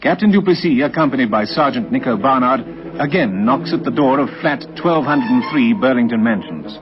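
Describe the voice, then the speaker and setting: An actor as the grave, dramatic narrator of a scripted old radio crime story. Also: male voice, medium pitch, expressive, brisk, over music, close to an everyday voice. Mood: grave narration. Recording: muffled vintage radio recording.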